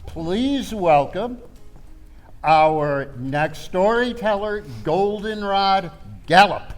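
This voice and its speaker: A man's voice: high voice, lively intonation, very slow, heavily acted. A man playing a courteous storytelling contest emcee, making staged introductions. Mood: courteous, presenting. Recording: music and crowd behind voice.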